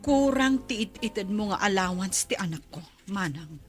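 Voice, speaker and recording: A woman's voice: medium pitch, lively intonation, normal speed, soft background music, lightly acted. A woman acting a caring but firm middle-aged mother in an Ilocano radio drama, emotive, with a Filipino accent. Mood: indignant.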